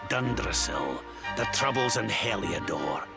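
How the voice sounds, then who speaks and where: Old game mentor: gravelly, swooping storyteller's delivery, doughty and fatherly, music loud behind. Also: on the low side, animated, unhurried. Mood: grave, ominous. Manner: dramatic, weighty storytelling with folksy turns. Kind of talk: video game cutscene acting.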